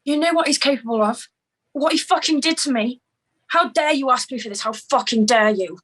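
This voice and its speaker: A woman's voice: high voice, a little fast, a bit bright. A woman playing a fierce, fed-up partner in a screenplay table read, emphatic and confrontational. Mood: furious, hurt.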